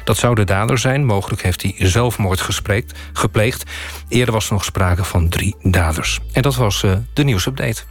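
Husky low-voiced man reading news. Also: normal speed, faint music behind. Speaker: news update reader. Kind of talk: news bulletin.

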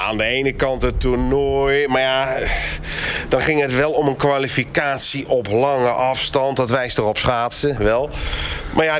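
A man's voice: medium pitch, fairly bright tone, slight background noise, close to an everyday voice. A man playing an earnest, baffled sports coach who reasons aloud in a satirical radio interview.

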